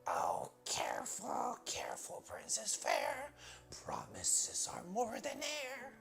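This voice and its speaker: A timid, lonely storybook frog who croaks in a scratchy half whisper. He sounds halting and plaintive, with music playing behind him. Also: high-pitched, huge pitch swings, a bit bright, voice pushed up, exaggerated voice. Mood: croaking warning. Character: timid, lonely icky male frog, earnestly bargaining. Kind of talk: storybook character voice.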